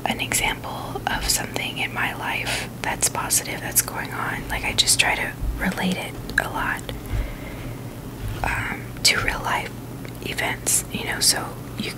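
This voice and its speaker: A woman whispering in an informal, halting ASMR style as she hosts a review video. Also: poor audio. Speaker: video host reviewing sneakers.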